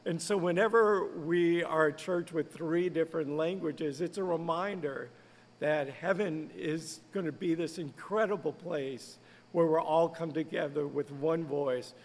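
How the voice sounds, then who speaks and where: A pastor talks in an earnest, conversational way, his voice a little high with a gravelly edge. The room carries a long reverb behind him. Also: a little slow. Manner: conversational, earnest, lightly laughing. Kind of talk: podcast interview.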